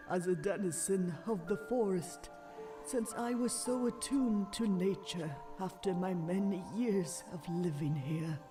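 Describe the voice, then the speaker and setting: A zebra being eaten away by rot in an audio drama. The voice rasps and wheezes, dragging slowly through labored pleas. It sounds set back from the mic, with prominent music behind. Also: female voice, on the low side, distant mic. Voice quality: raspy, wheezing whisper. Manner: halting, slow, labored. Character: dying zebra possessed by rot, pleading and rasping.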